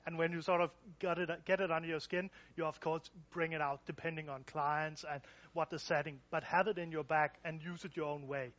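A workshop presenter answers audience questions in English, his voice pitched somewhat high. He restarts often and repeats words as he searches for phrasing. The recording is dull and lo-fi. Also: fairly even intonation, a little fast, few pauses, fairly bright tone. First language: Danish.

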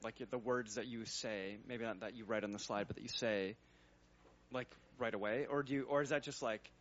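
A man's voice: on the low side, steady tone, a little fast, fairly bright tone, dull audio, slight background noise. A man, a user researcher, talking in a casual workshop Q&A, rambling and hesitant with many fillers.

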